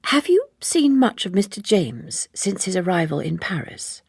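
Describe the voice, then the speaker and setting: Woman voicing an earnest, slightly husky young female typist. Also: on the low side, big pitch swings, moderate pace, a little mellow, dry recording, clean studio sound, exaggerated voice. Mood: curious. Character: earnest young female typist, polite, curious, gently reproving.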